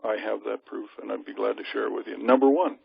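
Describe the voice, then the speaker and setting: A man's voice: fairly low pitch, fairly even intonation, fast talker, gravelly, crowd noise. A man giving an informal, emphatic and assured briefing to a group over a conference call, often posing rhetorical questions. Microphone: telephone conference call. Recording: lo-fi conference phone line.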